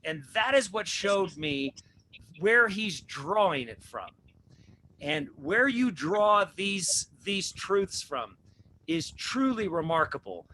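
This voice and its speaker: A man's voice: high-pitched, lively intonation, takes it slowly, sharp tone, a little hiss or hum, rough recording. A man hosting a religious talk show, preaching with repeated rhetorical questions. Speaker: host.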